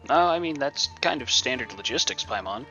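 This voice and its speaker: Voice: medium pitch, animated, brisk, muffled recording. A streamer giving casual, joking, flippant commentary over a video game. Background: game music.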